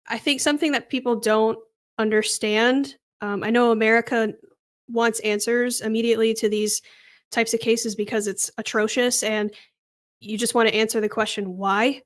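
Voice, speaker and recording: A woman co-hosting conversational true-crime commentary, explaining her views in an earnest, firm way. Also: on the high side. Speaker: podcast co-host.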